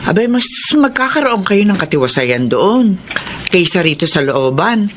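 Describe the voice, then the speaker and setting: A woman's voice: medium pitch, big pitch swings, moderate pace, background noise, close to an everyday voice. A woman playing a kindly, self-effacing older neighbour in a Filipino radio drama, humble and emotional. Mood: gentle, modest.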